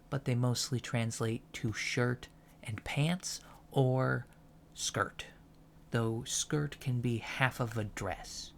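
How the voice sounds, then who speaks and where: A soft-spoken man giving a deadpan, matter-of-fact ASMR roleplay lecture as a college teacher. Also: mid-range voice, gravelly, slight background noise. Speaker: ASMR performer playing an alien college lecturer.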